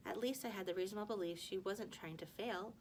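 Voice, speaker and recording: Female read-aloud storyteller, teacher type, mid-pitched, expressive but unpolished, slight background rumble. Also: fast talker. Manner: expressive reading with stumbles and self-corrections. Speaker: teacher reading a book aloud to a class.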